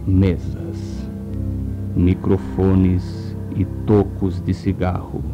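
A man narrating a radio play in a slow, solemn, mock-suspenseful way. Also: deep voice, gravelly, lo-fi sound.

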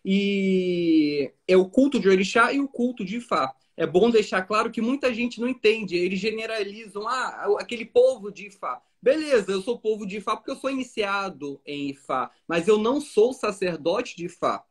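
A man's voice: high-pitched, expressive, moderate pace. A man with a Brazilian accent explaining religious distinctions didactically on a live stream, checking that listeners follow. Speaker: Orisha priest as guest on a live stream.

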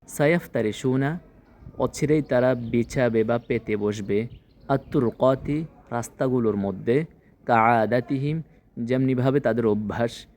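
A man's voice: mid-range voice, darkish tone. A man giving a scripted language lesson, didactically translating phrase by phrase in small chunks. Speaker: language teacher.

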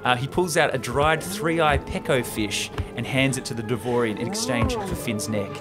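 A man's voice: mid-range voice, lively intonation, normal speed, over music. A young man casually retells a film script on a podcast in an enthusiastic, jokey way, close to the mic. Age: young adult. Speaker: podcast host. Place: small indoor home recording room. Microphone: close podcast mic on a stand.

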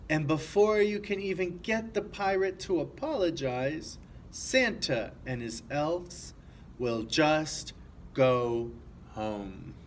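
A man's voice: fairly high pitch, animated, slow pace. A young man reading a children's picture book aloud, expressively, with asides to young listeners. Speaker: storytime reader. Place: small office room, indoors. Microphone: camera a few feet away. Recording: faint background noise.

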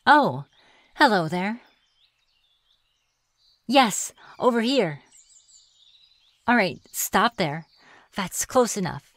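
A woman's voice: mid-range voice, sing-song, very slow, pauses often, fairly bright tone, dry recording, full character voice. A woman playing a playful, sly reclusive witch in an ASMR fantasy roleplay, musing and teasing in a coy manner. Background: birdsong and forest ambience.

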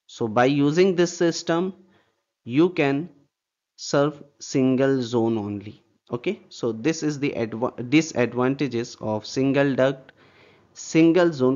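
A man's voice: medium pitch, a little mellow, highs cut off. A man lecturing on air distribution systems over slides, repeating points and checking in with "okay" and rhetorical questions. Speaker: HVAC instructor. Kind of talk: slide-based technical lecture.

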